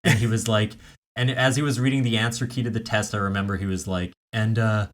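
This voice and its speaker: A man's voice: on the low side, steady tone, a little fast. A man co-hosting a podcast in casual, conversational chat. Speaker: podcast co-host.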